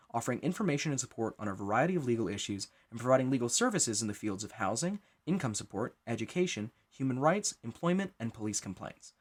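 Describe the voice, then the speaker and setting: A man with a husky, mid-pitched voice reads a formal scripted announcement. He moves along briskly, with lively rises and falls in his intonation. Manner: formal, clearly scripted delivery.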